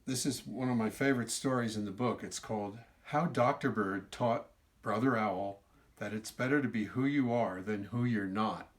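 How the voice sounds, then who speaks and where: A man's voice: fairly low pitch, moderate pace. A man telling a children's story as a storyteller, reading aloud expressively, stretching words for emphasis and adding explanatory asides. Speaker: storyteller reading his own book.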